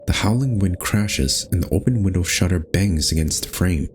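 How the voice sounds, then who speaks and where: Deep-voiced man narrating a spooky story. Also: moderate pace, darkish tone, slight background noise. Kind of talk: scripted storybook-style horror game narration.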